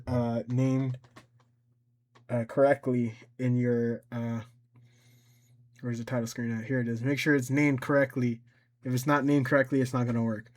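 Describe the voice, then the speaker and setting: Regular guy doing a casual screen tutorial, kinda hesitant, medium pitch, thinking out loud. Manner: informal, hesitant, thinking aloud. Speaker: tutorial host.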